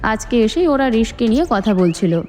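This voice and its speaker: A woman's voice: a little high, animated, moderate pace, a little mellow, close to an everyday voice. A woman storyteller voicing a cheeky, loyal young male college student who talks in a chatty, familiar way. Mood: explaining. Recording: long reverb, music underneath.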